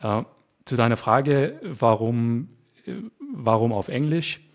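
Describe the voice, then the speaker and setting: Calm man explaining his work. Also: mid-range voice, takes it slowly. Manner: calm, explanatory, some fillers. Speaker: guest, web developer. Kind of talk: casual interview chat.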